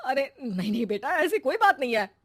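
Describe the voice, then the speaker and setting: A woman's voice: high-pitched, very animated, brisk, fairly bright tone, heavily acted. A woman voicing a naive, anxious middle-aged mother in a moral story, fretful and plaintive. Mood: worried. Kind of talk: acted moral-story dialogue.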